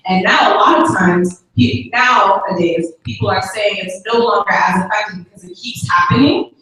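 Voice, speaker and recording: A woman's voice: mid-range voice, normal speed, fairly bright tone. A woman moderating a panel who chats encouragingly to the audience. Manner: encouraging, chatty. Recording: distant, roomy, faint noise.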